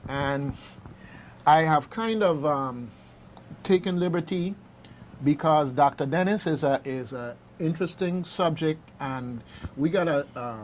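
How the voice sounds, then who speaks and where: A man hosting a radio show, introducing segments in a halting, conversational, unscripted way. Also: fairly high pitch, a little hiss or hum.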